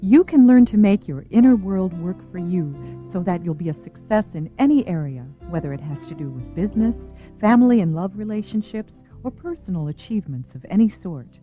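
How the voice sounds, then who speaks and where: A woman reading scripted promotional narration as the announcer of a recorded program. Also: medium pitch. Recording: tinny, echoey, band-limited audio.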